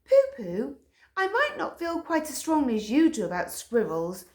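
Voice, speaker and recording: A woman voicing a calm, level-headed male dog who coaxes his friend along, in a roomy echo. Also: sits high, normal speed, voice pushed up. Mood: coaxing. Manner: coaxing, reasonable.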